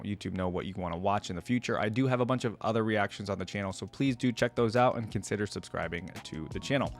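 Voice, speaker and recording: A man hosting a reaction video, giving casual commentary to his viewers and rambling a little as he thinks aloud. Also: on the low side.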